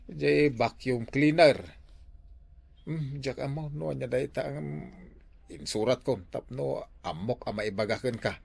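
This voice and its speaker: An easygoing older father in an Ilocano radio drama, voiced by a man. His medium-pitched voice crackles with fry, and he talks in a hesitant, conversational way. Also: measured pace. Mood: coaxing.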